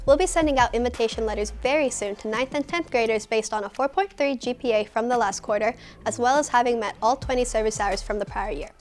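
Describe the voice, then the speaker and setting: Teen girl club president, quick eager announcement, light music behind. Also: fairly high pitch. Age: teens. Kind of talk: scripted announcement.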